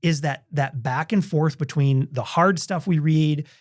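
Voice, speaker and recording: A man with a slightly high voice chats casually as a podcast co-host. His manner is laid-back and informal, with loose stop-start phrasing. The recording is dry and close, with very little room sound. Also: measured pace, dry recording. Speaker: university faculty member. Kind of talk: casual chat.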